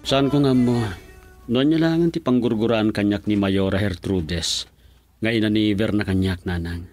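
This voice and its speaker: An actor playing a determined, devoted young man in a radio drama, with a husky voice and an earnest, intense manner. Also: on the low side, moderate pace, a little mellow, faint music behind, lightly acted. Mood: defiant, earnest.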